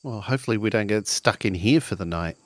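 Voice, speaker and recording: A man's voice: lowish voice, fast, background noise, natural voice. A man playing a cheeky slacker schoolboy who casually teases his friends in an improvised tabletop game. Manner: teasing, casual.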